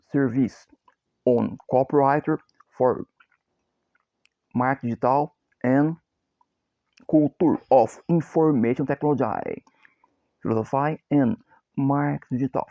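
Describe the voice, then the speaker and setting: A man's voice: medium pitch, no echo, dull audio. A Brazilian man with a Brazilian Portuguese accent, a copywriter introducing himself and chanting the same short phrases over and over in broken English. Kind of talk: repetitive chanted self-introduction.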